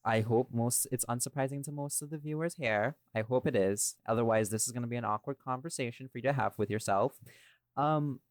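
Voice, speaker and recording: A man's voice: medium pitch, fairly quick, no echo. A man in a casual podcast chat as a creator and co-host, speaking informally with some swearing and tag questions.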